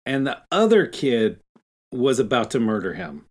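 Casting: male podcast co-host, middle-aged, mid-range voice, relaxed conversational book talk. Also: mid-range voice, normal speed, background noise. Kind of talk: casual book-discussion chat.

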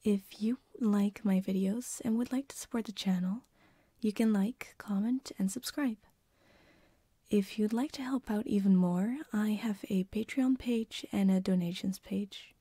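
A woman hosting an ASMR channel, giving a soft, half-whispered introduction in a breathy voice. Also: mid-range voice, unhurried, no echo, studio quality.

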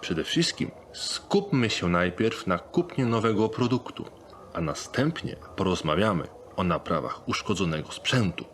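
A man playing a polite, sales-minded locksmith in a dark fantasy audio drama, speaking in a courteous, businesslike, obliging way. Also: sits low, animated, unhurried, slight background noise. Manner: courteous, businesslike, eager to please.

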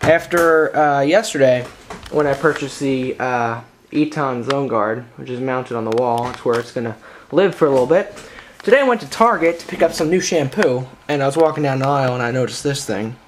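A man hosting a casual unboxing vlog in a halting, informal way, recorded indoors on a handheld camera's built-in microphone. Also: medium pitch, animated, slight background noise. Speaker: video host.

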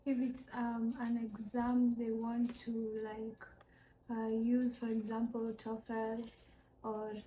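A young female student from Kenya giving measured advice, filmed in a study lounge. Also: a little high, monotone, mellow, slight background noise, low-quality recording. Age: young adult. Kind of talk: casual interview answer.

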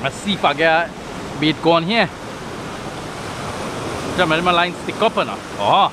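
A man's voice: on the high side, measured pace. Trinidadian man with surf noise behind. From Trinidad and Tobago. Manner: relaxed, chatty, Creole phrasing. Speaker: vlogger. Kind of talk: casual outdoor vlog narration. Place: outdoors by the sea, windy. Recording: wind and surf noise on a camera mic.

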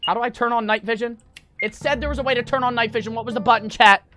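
A young man streaming a horror game, panicking, swearing and yelling in fright while reading notes aloud. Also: extremely high pitch, fairly even intonation, fast, a little hiss or hum. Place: small room at home. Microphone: desk mic on stand.

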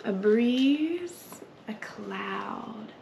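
A woman in her thirties hosting a children's story time, reading and singing expressively with hushed, breathy asides. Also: mid-range voice, animated, drawn-out words. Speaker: library story time host. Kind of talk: children's story time read-aloud and sing-along. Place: children's library room, indoors. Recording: noticeable background room noise.

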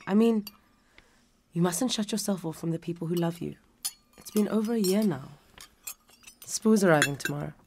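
Caring South African grown daughter played by a woman, patient, lower register, radio drama, slight background noise. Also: normal speed, pauses often, a little mellow, natural voice. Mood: gently coaxing.

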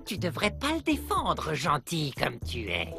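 A man voicing a grumpy, jealous, scheming tomcat in an animated series, with a sneering, mocking way of talking. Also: a little high, a little slow, vocal fry, music in the background, full character voice. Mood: suspicious. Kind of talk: French animated series dubbing.

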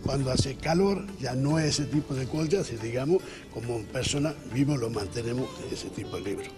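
A man with an Indian accent explaining things in halting Spanish. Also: medium pitch. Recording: loud music over interview.

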